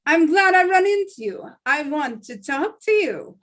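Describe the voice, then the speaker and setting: A woman plays a gangster kidnapper with a high, swooping voice. The words come slowly and drawn out, friendly on the surface and threatening underneath, as in a live script reading. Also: big pitch swings, a bit bright, heavily acted. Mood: falsely friendly. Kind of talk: live table read of a film script.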